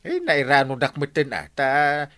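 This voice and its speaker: A man with an Ilocano accent playing an excitable village captain with a halting, comic delivery in a radio drama. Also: a little high, no room sound, clean studio sound, full character voice. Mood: amused.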